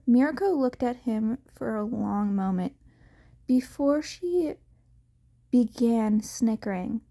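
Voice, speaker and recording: Unhurried woman narrating a story. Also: a little high, expressive, gravelly, warm tone. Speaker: amateur audio fiction reader. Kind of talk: expressive scripted story narration.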